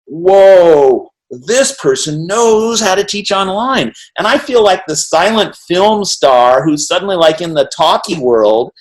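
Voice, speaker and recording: A middle-aged man with a fairly high voice, hosting a conversation over a video call. He talks in quick, rambling bursts, laughing and tossing in "right?" to keep his guest with him. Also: lively intonation, low-quality recording. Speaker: interview host. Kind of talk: animated casual conversation.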